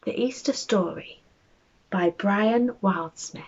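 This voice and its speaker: A woman reads a children's bedtime story in a husky, breathy voice at an unhurried pace. She is gentle and expressive, speaking straight to her young listeners. The recording is slightly dull and lo-fi. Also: mid-range voice. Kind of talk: children's bedtime story read aloud with friendly chat.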